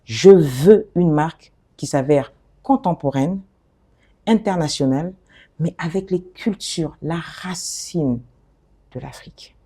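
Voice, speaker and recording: Woman from the DR Congo, middle-aged, animated and expressive, fairly low voice, interview guest. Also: slow, husky. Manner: animated, expressive, laughs often, restarts phrases. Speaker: guest, fashion designer and author. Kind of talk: conversational interview.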